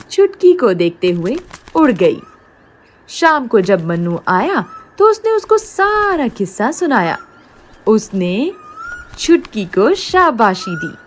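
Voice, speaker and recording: A woman's voice: mid-range voice, a little hiss or hum. A woman narrating a scripted moral story for children as a storyteller.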